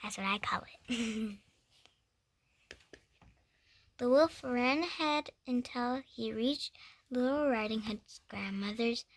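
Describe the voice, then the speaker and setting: A woman's voice: fairly high pitch, measured pace, no echo. A young girl reading a picture book aloud, stumbling over words and correcting herself, with playful asides. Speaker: storybook reader.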